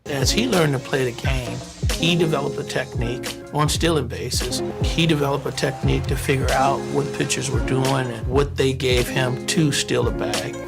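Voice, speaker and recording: A man giving measured sports documentary commentary on a player. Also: medium pitch, steady tone, creaky. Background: loud music.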